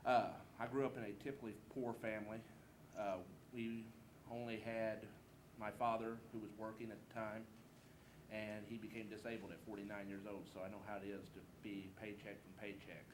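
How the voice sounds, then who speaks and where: A man's voice: on the low side, little pitch movement, fairly quick. A man from southeast Missouri with a Southern American twang, giving a campaign speech as a political candidate. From Southeast Missouri, United States. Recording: noisy live event room recording.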